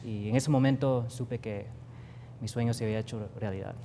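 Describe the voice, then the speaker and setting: A man's voice: medium pitch, steady tone, normal speed, mellow, slight background noise. A young man with a Costa Rican accent, a guest artist speaking earnestly and reflectively in a TV studio interview, with frequent fillers. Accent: Costa Rican Spanish. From Costa Rica. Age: young adult.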